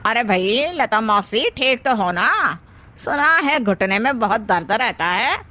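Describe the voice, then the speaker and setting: A woman's voice: on the high side, animated, takes it slowly, bright, forceful tone, exaggerated voice. A woman voicing an oily, drawling, falsely chummy male village gangster in a moral story. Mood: smug, chummy.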